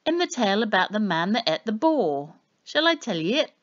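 Menacing storybook boar man played by a woman: growling, snarling, raised pitch, muffled recording. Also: very animated, fairly quick, close to an everyday voice. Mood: taunting.

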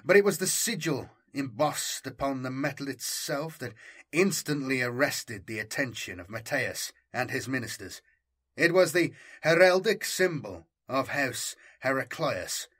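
A man narrates a science fiction audiobook. His delivery is scripted and dramatic, with a bright, forceful edge to the voice. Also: mid-range voice, lively intonation, moderate pace, breathy edge.

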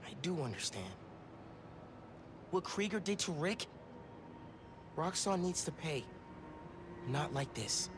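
An earnest soft-spoken young hero. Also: male voice, on the high side, very animated, slow, long pauses, background noise. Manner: quiet, earnest, gentle. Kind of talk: video game cutscene acting. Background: game score music.

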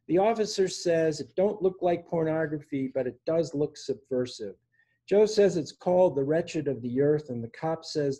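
Older guy in his 60s reciting poems, really soft dark tone, bit higher pitched, pauses between phrases. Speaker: poet reading own work. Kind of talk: poetry reading. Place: indoor room at home. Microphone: device mic on a video call.

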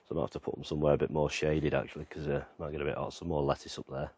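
A man with a very deep, low voice talks his way around his allotment and garden. His delivery is relaxed and rambling, with plenty of ums and you-knows. He is outdoors, filming on a handheld camera. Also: racing, slightly rough, dry recording. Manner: relaxed, rambling, lots of fillers. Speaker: gardening vlogger. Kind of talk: casual walk-around garden tour.

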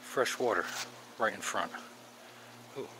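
A man's voice: on the low side, slow pace, noisy background. A middle-aged man chatting casually and enthusiastically while hiking wooded mountain trails outdoors, recorded on an action camera. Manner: enthusiastic, musing aloud while walking. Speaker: hiking vlogger.

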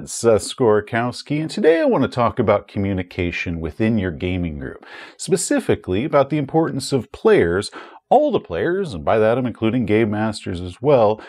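A man's voice: medium pitch, darkish tone. A man in his forties giving advice to camera as a channel host, explaining things with emphasis. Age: 40s. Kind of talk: direct-to-camera advice commentary. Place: small furnished room at home, indoors. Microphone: lapel mic.

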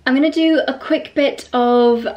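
A woman in her thirties, vlogging to a close camera in a bright bedroom, chatty and gushing, a little stuffy from a cold. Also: high voice, unhurried, muffled recording. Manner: gushing and chatty, with light laughs. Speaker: lifestyle vlogger. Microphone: camera held close.

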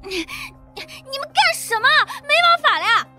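A woman voicing a frightened, indignant young woman in an audiobook, shrill and tearful. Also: sing-song, unhurried, bright, forceful tone, heavily acted. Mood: tearful protest. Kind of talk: dramatised audiobook character acting. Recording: music bed behind voice.

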